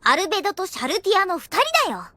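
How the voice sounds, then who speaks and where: Very high, bright girlish anime guardian. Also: wildly swooping pitch, fast, no echo, full character voice. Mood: cheeky. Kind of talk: Japanese anime audio drama acting.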